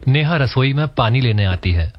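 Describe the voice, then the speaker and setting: A man's voice: medium pitch, lively intonation, normal speed, lo-fi sound. A man reading scripted narration for a cartoon family story.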